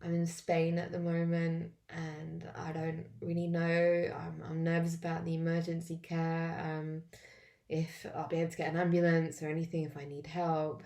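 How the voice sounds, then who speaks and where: An English woman who is wheezy and coughing, talking in a halting way with many fillers as she walks through a self-help tapping routine alone at home. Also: deep voice, completely flat delivery, creaky, dark tone. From England. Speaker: vlogger demonstrating tapping. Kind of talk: casual self-help walkthrough.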